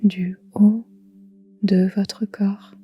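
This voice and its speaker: A woman guiding a relaxation meditation in a soft, whispery, calm and soothing voice, leaving long pauses. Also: mid-range voice, muffled recording. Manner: soft, breathy, whispery delivery. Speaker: yoga nidra guide.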